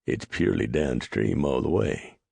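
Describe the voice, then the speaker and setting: A calm, calculating young trapper as a male narrator plays him in an audiobook. His voice is very low and dark, with a heavy creak. The recording is dry and close. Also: normal speed, dry recording, exaggerated voice. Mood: steady.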